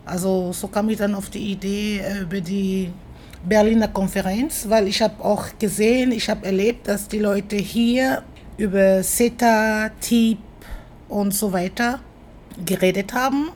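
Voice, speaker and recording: A middle-aged woman, a performance artist and activist, answering interview questions slowly and thoughtfully with many hesitations and filler sounds. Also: medium pitch, fairly even intonation, darkish tone, slight background noise.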